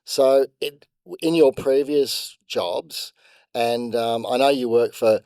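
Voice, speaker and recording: Middle-aged male podcast host, warm low-key tone, chatty and self-interrupting. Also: fairly even intonation, takes it slowly, smooth, no room sound, studio quality. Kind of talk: casual interview chat.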